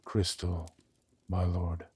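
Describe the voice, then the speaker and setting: A man voicing a dutiful henchman to a warlord in an audio roleplay, formal and subservient, with a rough voice. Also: deep voice, monotone, takes it slowly, warm tone, a little hiss or hum. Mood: obedient.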